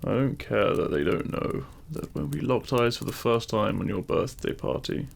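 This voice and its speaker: A man voicing a devoted, teasing demon king in an intimate romantic audio roleplay, speaking tenderly in courtly, formal phrasing with a gravelly voice. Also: mid-range voice, expressive, normal speed. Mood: earnest, adoring.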